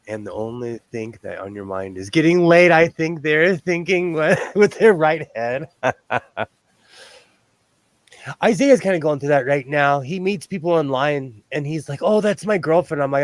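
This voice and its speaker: A man in his forties hosting a sewing livestream, chatting casually in a high but loud voice, rambling, laughing often and restarting his sentences. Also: no room sound. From lives on the West Coast of the US. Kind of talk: casual chat while sewing.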